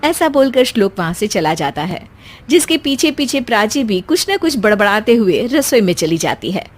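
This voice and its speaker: A woman tells an animated story as its narrator, reading from a script. Music plays beneath the voice. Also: on the high side, moderate pace.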